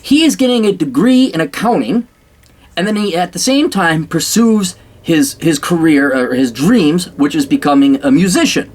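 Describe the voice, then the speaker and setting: Blunt, sarcastic man with a lively pitch. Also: moderate pace. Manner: blunt, sarcastic, swears freely; rambling with frequent restarts. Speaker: vlogger giving blunt career advice. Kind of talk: casual monologue to camera.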